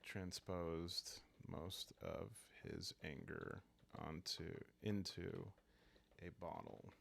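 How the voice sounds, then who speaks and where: Man, low creaky voice, unhurried, calm, soft-spoken, halting, solo roleplay ASMR. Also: a little hiss or hum. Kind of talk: sleepy ASMR roleplay narration, improvised.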